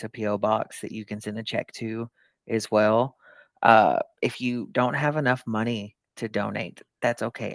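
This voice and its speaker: A man's voice: fairly low pitch, fairly bright tone, no echo. Male candidate guest, dry close sound. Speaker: political candidate, podcast guest. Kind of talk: conversational interview.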